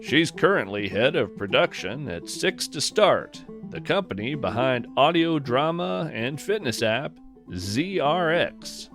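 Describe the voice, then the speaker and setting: An American man hosting a podcast, reading whimsical scripted narration in a wry, gently earnest storytelling manner. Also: medium pitch, animated, a little slow, faint music behind.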